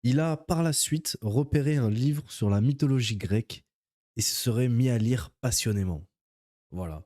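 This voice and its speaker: A man's voice: mid-range voice, normal speed, warm tone, dry recording, clean studio sound. A man casually telling an odd news story to his viewers, with playful, mock-admiring asides. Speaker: YouTube storyteller.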